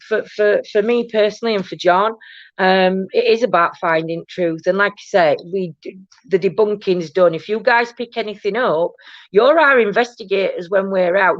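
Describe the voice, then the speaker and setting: A woman hosting a paranormal chat stream, talking casually with a loud, booming voice and many restarts. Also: no room sound. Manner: rambling, many restarts and "do you know what I mean". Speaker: host of a paranormal chat show. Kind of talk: casual live-stream chat reading viewer comments. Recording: dull, dry low-fi stream audio.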